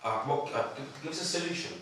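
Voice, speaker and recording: A man's voice: fairly high pitch, fast talker, a bit bright, close to an everyday voice. A man playing an earnest, conciliatory pink elephant office executive, speaking hesitantly and reasonably at a script table read. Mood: reasonable. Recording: very echoey room, distant mic, some noise.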